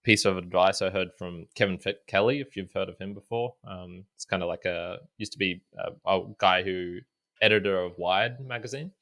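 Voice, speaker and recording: Lower-pitched male host, loose and rambling conversational delivery. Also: moderate pace, fairly bright tone. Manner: rambling, many restarts and fillers. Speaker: podcast host. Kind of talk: casual chat.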